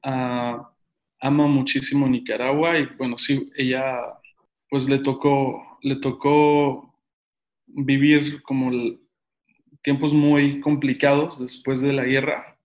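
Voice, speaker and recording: Male guest, Mexican accent, mid-range voice, relaxed conversational delivery in an informal interview. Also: medium pitch, slow, highs cut off. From Mexico City, Mexico. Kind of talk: casual chat. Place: at home.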